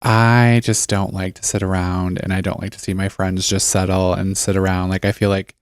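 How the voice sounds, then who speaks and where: A man's voice: low voice, flat delivery, moderate pace, clean tone, dry recording, clean studio sound. A middle-aged man co-hosting a podcast in casual chat, playful and self-mocking, laughing often. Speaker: podcast co-host.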